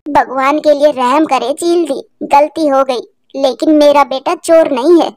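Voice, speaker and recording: A woman's voice: high voice, a little slow, darkish tone, no room sound, studio quality. A woman voicing an anxious, emotional mother sparrow in a cartoon, devoted and pleading.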